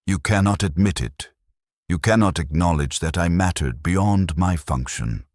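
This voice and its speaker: A man's voice: low voice, expressive, unhurried, dry recording, studio quality. A man voicing an earnest, loyal young soldier in a narrated war story, solemn and insistent.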